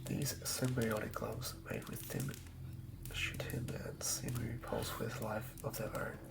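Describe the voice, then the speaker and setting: Asmr host whispering real close, super crackly fry, kinda mumbling thoughts out loud. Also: mid-range voice, flat delivery, unhurried. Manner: murmuring, thinking aloud in fragments. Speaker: host of an ASMR tabletop game channel. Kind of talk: whispered ASMR, improvised villain-building ramble.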